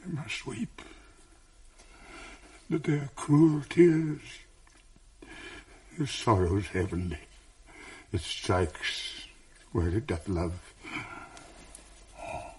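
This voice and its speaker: A brooding, jealous general: low, husky, slow, measured verse, whispers that break into rage. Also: male voice, exaggerated voice. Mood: brooding, tender. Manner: brooding, swings from hushed whispers to outbursts. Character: tormented jealous general, grave and commanding. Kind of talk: classic stage verse radio drama.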